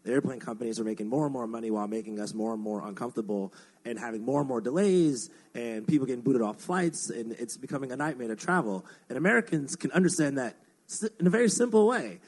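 A man's voice: medium pitch, expressive, fast talker, husky, poor audio. A man giving wry, conversational commentary in a radio discussion, explaining his points by analogy.

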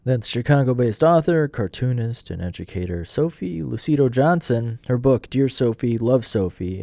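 A man's voice: medium pitch, vocal fry, dark tone. A man hosting a radio program, moving between interview questions and scripted narration. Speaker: radio show host.

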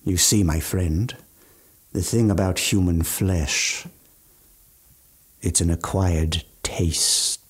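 An actor playing an eccentric, aging gourmand mountaineer with ghoulish relish and fussy, old-fashioned genteel speech in a radio drama. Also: male voice, sits low, slow, long pauses. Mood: sly, genteel. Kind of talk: theatrical radio drama monologue.

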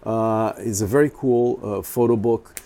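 A man whose first language is Portuguese speaks in a relaxed, mellow voice. He talks casually, pausing often with fillers and restarting his sentences as he searches for words. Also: on the low side, gravelly. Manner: hesitant, many fillers and restarts. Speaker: photographer. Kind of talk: casual chat.

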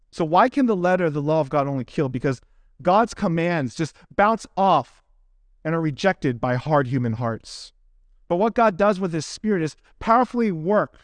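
A male pastor with a fairly high-pitched voice delivers a sermon. He speaks earnestly and deliberately, stressing phrases in parallel runs. The sound is close and dry, with little room echo. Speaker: pastor preaching to his congregation. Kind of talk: expository sermon closing in prayer.

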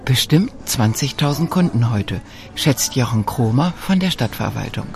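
A man reading scripted narration for a radio documentary feature in a calm, measured way. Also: medium pitch, faint music behind.

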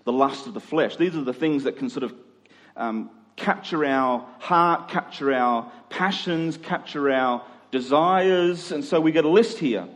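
A man's voice: a little high, unhurried, fairly bright tone. A middle-aged man preaching in a conversational expository style, using rhetorical questions and emphatic repetition. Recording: long hall reverb.

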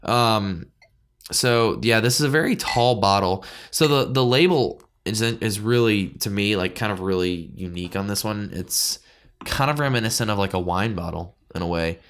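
A man's voice: on the low side, moderate pace, fairly bright tone, smooth. A man co-hosting a beer podcast, talking in a chatty, informal, enthusiastic way. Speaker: podcast co-host. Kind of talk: casual beer-tasting podcast chat.

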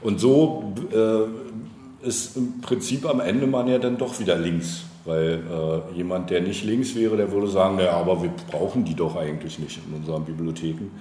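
A man's voice: low-pitched, moderate pace, warm tone. A man speaking as a local politician in a conversational interview, explaining in long, winding, hesitant sentences. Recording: roomy echo, faint background noise.

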